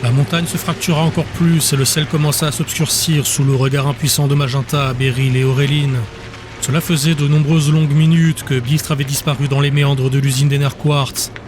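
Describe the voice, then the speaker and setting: A man's voice: fairly high pitch, fairly even intonation, fairly quick, background noise. A man reading scripted dramatic narration as the narrator of an audiobook.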